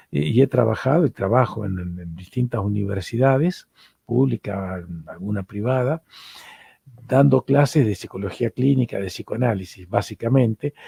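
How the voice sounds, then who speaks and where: Middle-aged Argentine male lecturer, dark gravelly voice, dry room, hesitant and repetitive delivery. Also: medium pitch, dry recording. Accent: Argentine Spanish. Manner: hesitant, repeats words while searching for them. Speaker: psychoanalyst lecturer.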